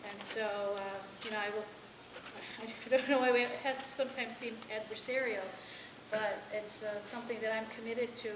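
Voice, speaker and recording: A woman politician giving a campaign speech to a local party meeting, halting and full of fillers. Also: on the high side. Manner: halting, filler-heavy delivery. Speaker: state assembly member. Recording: echoey room with hiss.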